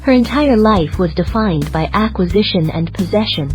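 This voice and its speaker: Woman as resolute goddess, solemn, declarative, merciful, mid-pitched, audio fantasy story, faint music behind. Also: expressive, moderate pace, close to an everyday voice. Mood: solemn resolve. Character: resolute merciful goddess passing judgement on a rival. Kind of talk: dramatic audio story dialogue.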